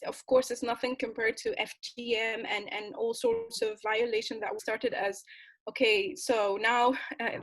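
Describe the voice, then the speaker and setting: A young woman from Tunisia, an activist guest telling her personal story in an interview, earnest and passionate, often restarting phrases with soft laughs. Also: fairly high pitch, rough recording.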